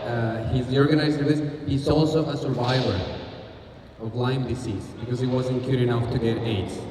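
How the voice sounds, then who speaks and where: A man delivering a stand-up roast with punchy, deadpan insult jokes. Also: medium pitch, moderate pace, very noisy. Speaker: roast comedian. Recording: echoey hall, distant mic, crowd. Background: audience laughter.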